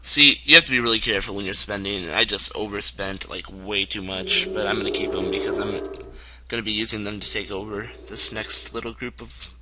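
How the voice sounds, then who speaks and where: Young man, lowish voice, hoarse, chatty let's play commentary, rambling, chuckling, noisy home recording. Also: a little fast. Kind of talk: casual gameplay commentary. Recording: steady background noise.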